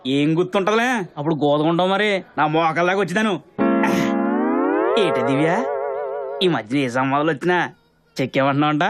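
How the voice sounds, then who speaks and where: A man's voice: on the high side, normal speed, pauses often, close to an everyday voice. A man playing a brash, chatty small-town shopkeeper relative in a comedy film, with a coastal Andhra Telugu accent and a rambling, overfamiliar manner. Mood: teasing, overfamiliar. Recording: film soundtrack with music behind.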